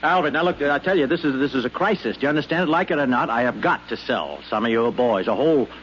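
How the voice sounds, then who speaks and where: A man's voice: medium pitch, lively intonation, fast talker, fairly bright tone, lightly acted. Flustered ordinary man played by an actor, hesitant and stammering, 1950s radio drama on dull, hissy recording. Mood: frantic. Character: flustered, hapless ordinary male robot owner. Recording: muffled, noisy old radio recording.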